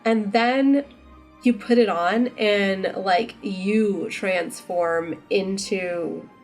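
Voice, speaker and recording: A woman's voice: medium pitch, extremely slow, a little mellow. Female vlogger, mid-range voice, informal and enthusiastic conversational delivery, light music bed underneath. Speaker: vlogger and costume maker. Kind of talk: casual vlog chat.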